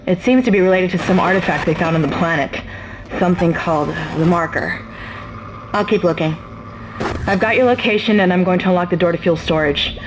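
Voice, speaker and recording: A sharp, suspicious female tech specialist acted by a woman speaks in a lowish voice over clear background noise. She is brisk and pointed, in sci-fi game style. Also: natural voice. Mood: focused, explaining. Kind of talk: video game dramatic acting.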